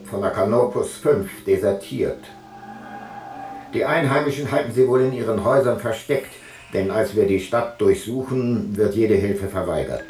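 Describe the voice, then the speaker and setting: Ancient Greek voyager in radio drama, formal log entries, lowish voice, reverberant, over music. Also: male voice. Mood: grave, reporting. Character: ancient Greek male seafarer reading out his voyage log. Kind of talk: radio play logbook narration. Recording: very echoey, music behind.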